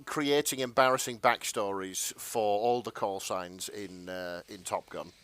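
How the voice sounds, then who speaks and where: A man co-hosting a podcast in casual chat, halting and stammering, mildly apologetic in manner. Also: fairly low pitch, fairly bright tone. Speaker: podcast co-host.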